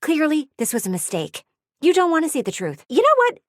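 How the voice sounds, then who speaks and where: A woman's voice: high-pitched, wildly swooping pitch, brisk, no room sound, clean studio sound. A woman voicing a nosy, prim, easily offended lady window in a video game, with a theatrical, gushing and condescending manner. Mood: huffy, affronted. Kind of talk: animated video game character acting.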